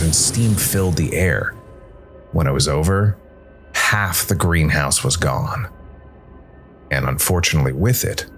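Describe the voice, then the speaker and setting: A man narrating a scripted dramatic story in a measured way, with pauses for effect. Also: a bass voice. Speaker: narrator, solo storytelling player.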